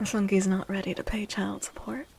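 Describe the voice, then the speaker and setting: A woman livestreaming soft-spoken ASMR chat in a playful, unhurried way, with a breathy, often whispery voice close to the mic. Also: medium pitch, flat delivery, a little hiss or hum. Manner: playful, unhurried, repeats phrases. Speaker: livestream host.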